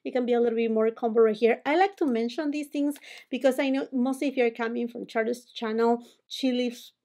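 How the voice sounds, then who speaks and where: A woman in her forties from Peru with a Peruvian Spanish accent, chatting through a makeup tutorial at home, animated as she applies products. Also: on the high side, fairly quick. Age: 40s. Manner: animated, gestures a lot while talking. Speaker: beauty vlogger. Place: home room with holiday decor.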